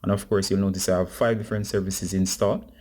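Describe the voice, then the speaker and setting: A man's voice: low voice, flat delivery, quick pace, darkish tone. A man hosting a casual step-by-step phone tutorial, speaking informally and instructively to his viewers. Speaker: tech tutorial host.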